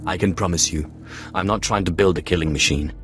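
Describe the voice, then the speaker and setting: An actor playing a cool, commanding, dryly humorous Sith sorcerer in a video game, measured, terse and self-assured. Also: male voice, low voice, music in the background, lightly acted. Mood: calm, dry. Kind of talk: video game character dialogue.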